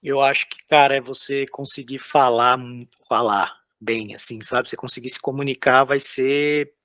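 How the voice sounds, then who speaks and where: A man from São Paulo, a concept artist, chatting casually in an interview while drawing, with loose, halting speech full of fillers. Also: mid-range voice, steady tone, a bit bright, dry recording. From São Paulo, Brazil. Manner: loose, hesitant, lots of filler words and restarts.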